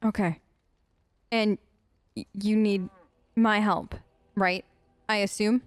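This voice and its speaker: A woman's voice: medium pitch, steady tone, takes it slowly, no room sound. A woman doing casual let's play role-play, answering game characters in an informal, hesitant, playful way.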